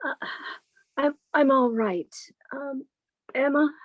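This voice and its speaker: Woman acting a high-voiced earnest sister. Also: slow pace, no room sound, narrow, tinny audio. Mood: meek pleading. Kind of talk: old-time radio drama acting.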